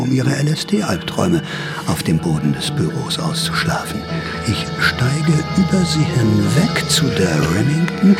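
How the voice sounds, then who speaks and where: A man's voice: medium pitch, creaky, exaggerated voice. A man playing a sly typewriter thief who narrates his own story in a theatrical, wry radio-play style. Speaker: first-person narrator and lead of a radio drama.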